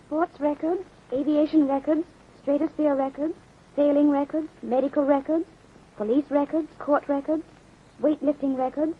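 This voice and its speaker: Woman as young society lady, obliging, high voice, 1930s radio drama, dull lo-fi sound. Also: fairly even intonation, normal speed, natural voice. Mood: dutiful, pleased. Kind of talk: 1930s radio serial acting. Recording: muffled 1930s radio recording.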